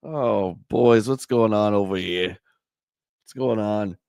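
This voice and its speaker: Man, hoarse, lowish voice, chatty stream host, dry close sound. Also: takes it slowly, a little mellow, no room sound. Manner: chatty, answers viewer comments. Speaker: livestream host. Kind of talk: casual live chat reading a magazine.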